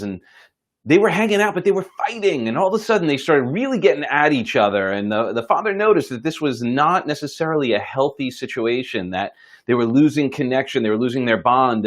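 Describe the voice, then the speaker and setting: A man telling a motivational story in an informal, folksy and earnest way, pausing for dramatic effect. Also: mid-range voice. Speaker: host telling a moral story.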